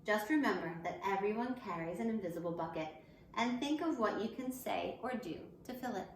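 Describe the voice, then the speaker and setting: The recording has a clear room echo and a faint layer of noise. In it, a woman speaks at a middling pitch as a schoolteacher addressing young children. She uses simple, encouraging phrases, in the manner of a picture book read-aloud. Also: expressive, brisk. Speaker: elementary school teacher.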